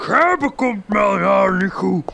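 An actor playing a crude, bossy rural Flemish husband in a radio comedy drama, gruffly barking orders in a gravelly voice. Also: male voice, high voice, expressive, moderate pace, pushed and bright, a little hiss or hum, heavily acted. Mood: gruff, demanding.

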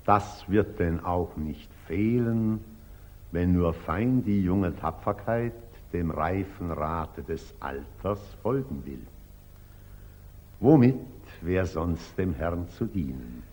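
Pompous deep-voiced old patriarch. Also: male voice, lively intonation, takes it slowly, a little mellow, heavily acted. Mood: unctuous, condescending. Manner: preaching, grandly condescending. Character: pompous, unctuous, zealous elderly church patriarch. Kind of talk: 1940s German radio drama acting. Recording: old muffled 1940s radio recording.

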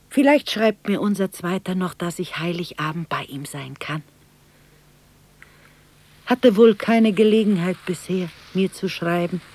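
An actress playing a lonely, wistful older mother in a radio drama, thinking aloud in a halting, hesitant way. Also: lowish voice, dry recording. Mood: wistful, hopeful. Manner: halting, hushed, hesitant inner monologue. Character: wistful, lonely mother in cheap shoes, yearning. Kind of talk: 1960s German radio drama monologue.

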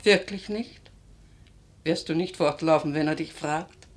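A careworn, loving mother in a German radio drama. Her voice is low and she speaks slowly, pleading softly and tenderly. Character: anxious, loving, careworn mother of a poor family. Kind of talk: German radio play acting.

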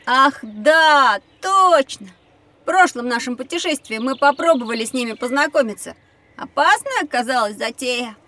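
A woman's voice: sits high, lively intonation, normal speed, sharp tone, far from the mic. A woman playing an outspoken, curious and skeptical young boy in an educational audio drama, slightly off the microphone. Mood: skeptical, eager. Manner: doubting, inquisitive.

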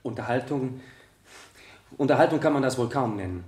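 A man playing a young student witness in a radio courtroom drama, halting and stammering yet insistent. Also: medium pitch, expressive, a little mellow, natural voice. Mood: hesitant. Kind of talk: radio play courtroom dialogue. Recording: roomy 1970 radio drama studio.

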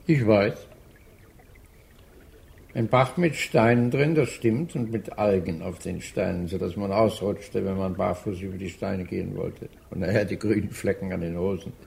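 A creaky-voiced stubborn old man. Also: deep voice, fairly even intonation, normal speed, darkish tone, a little hiss or hum. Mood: reminiscing, terse. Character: old man fishing, stubbornly argumentative, reminiscing. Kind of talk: German radio drama acting.

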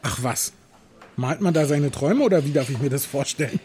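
A man's voice: medium pitch, lively intonation, a little fast, a little mellow, slight background noise, lightly acted. A man playing a sociable, folksy hospital patient who chats and is easily amazed, in an audio drama. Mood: amazed, curious. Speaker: actor in a drama. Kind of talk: dialogue acting in an audio drama.

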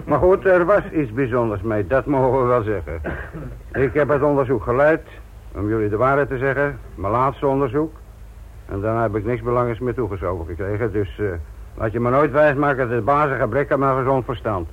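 An actor playing a blunt, sardonic, middle-aged police inspector lecturing trainees in a Dutch radio play. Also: male voice, mid-range voice, fairly quick, close to an everyday voice. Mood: wry. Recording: tinny, noisy old radio play recording.

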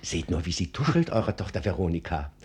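A man's voice: low-pitched, sing-song, a little fast, darkish tone, husky, full character voice. A man playing a self-important, genial official in a radio play, speaking in a knowing, confiding manner. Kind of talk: theatrical radio play acting.

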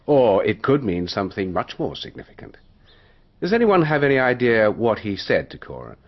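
An actor playing a sly, nosy, idle young gentleman in a radio drama, arch and insinuating. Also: lowish voice, big pitch swings, normal speed, dull audio. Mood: intrigued.

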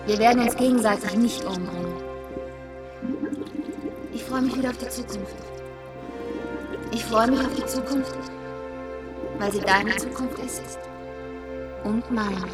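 A woman's voice: fairly high pitch, unhurried, pauses often, loud music behind the voice, close to an everyday voice. An actress playing a tender, hopeful woman in love in a science-fiction radio play.

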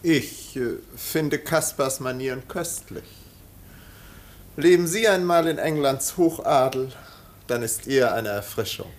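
An actor playing a suave, condescending English aristocrat in a German radio play, polished and faintly ironic, with small hesitations. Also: male voice, medium pitch, a little slow, lightly acted.

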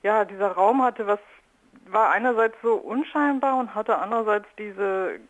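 A woman's voice: mid-range voice, normal speed, muffled recording. A woman talking spontaneously as an interview guest, thinking aloud in loosely linked clauses. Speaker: radio artist being interviewed.

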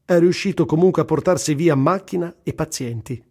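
An actor playing a wry, indignant male war journalist in an expressive, sardonic radio theatre reading of a diary. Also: fairly high pitch, brisk, slightly rough. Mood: tense, vivid.

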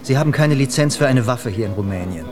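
Schauspieler als strenger Beamter, mittlere Stimmlage, viel Musik. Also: male voice, mid-range voice, animated, quick pace, lightly acted. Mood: stern, threatening. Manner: clipped, commanding, officious. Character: stern, authoritarian male Romanian security official. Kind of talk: radio drama dialogue. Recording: music behind the voice. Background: prominent music.

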